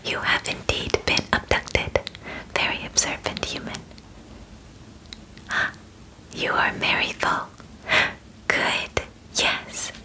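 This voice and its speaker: A woman in her twenties whispering softly and breathily in an ASMR roleplay as a polite, formal alien examiner, with gentle, halting phrasing. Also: rough recording. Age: 20s. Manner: gentle, halting, measured, formal wording with short pauses. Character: polite, matter-of-fact female alien medical examiner. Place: small bedroom, indoors.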